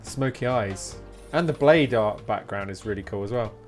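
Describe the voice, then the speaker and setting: A man's voice: on the low side, moderate pace. Man chatting casually over faint game music. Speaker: game streamer. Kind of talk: casual live-stream chat while gaming.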